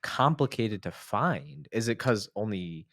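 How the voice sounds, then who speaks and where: Enthusiastic low-voiced host, dry sound. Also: male voice, big pitch swings, measured pace, fairly bright tone, dry recording. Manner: enthusiastic, affirming. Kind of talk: casual chat.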